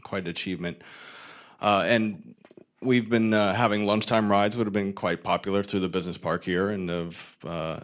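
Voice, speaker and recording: Very low-voiced man, halting, jokey, cycling group member. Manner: halting, self-deprecating joker. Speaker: bicycle advocacy group member. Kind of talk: informal remarks at a city council meeting. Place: city council chambers.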